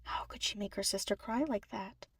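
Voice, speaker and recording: A woman's voice: mid-range voice, moderate pace, fairly bright tone, husky. A woman reading scripted story narration for a fan fiction audiobook. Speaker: podfic narrator.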